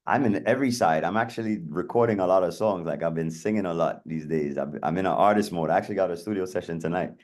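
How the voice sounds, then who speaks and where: Deep-voiced Atlanta man telling stories. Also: fast talker, hardly any pauses, a little mellow. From Atlanta, USA. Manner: chatty, lots of "you know" fillers. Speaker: guest DJ and producer. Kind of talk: casual interview storytelling.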